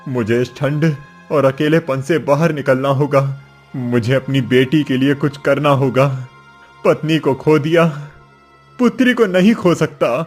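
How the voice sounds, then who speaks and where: A man's voice: medium pitch, darkish tone, close to an everyday voice. A man playing a poor, determined village father in a cartoon story, speaking in an earnest, declaiming way. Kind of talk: cartoon story character acting. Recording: music behind the voice.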